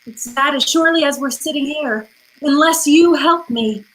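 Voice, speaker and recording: A high-voiced femme fatale, acted by a woman from an old-time radio mystery. She is fluttery and hesitant, pleading with melodramatic helplessness that hides a manipulative streak. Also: normal speed, a bit bright, poor audio. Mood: anxious, evasive.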